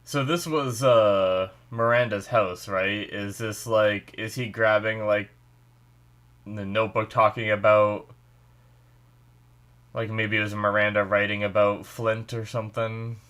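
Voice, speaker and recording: A man's voice: lowish voice, steady tone, unhurried, a bit bright. A man with a stuffy nose hosting a casual reaction video, rambling and thinking aloud with lots of "like" and "you know".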